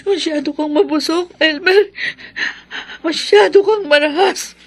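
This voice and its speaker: Very high-pitched pleading woman. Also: unhurried. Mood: tearful, reproachful. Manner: pleading, tearful, urgent. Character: distraught, pleading woman, frightened accomplice. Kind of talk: horror radio drama acting.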